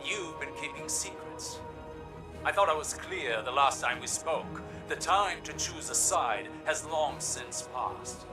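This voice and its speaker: Impatient brash warrior scholar in a game, commanding, kinda high voice, bit far off. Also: male voice, animated, slow, music in the background. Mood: accusing, stern. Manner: intense, commanding, accusatory. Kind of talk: scripted video game dialogue.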